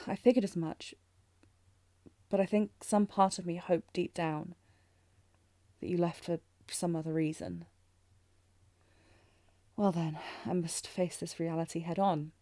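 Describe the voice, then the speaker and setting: A woman's voice: lowish voice, steady tone, unhurried, pauses often, warm tone, no echo. A woman playing a tender, anxious young princess in a romantic audio drama, speaking formally and hesitantly with sighs. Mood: subdued, probing. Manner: formal archaic diction, hesitant, breathy sighs. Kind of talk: scripted romantic fantasy audio roleplay, intimate.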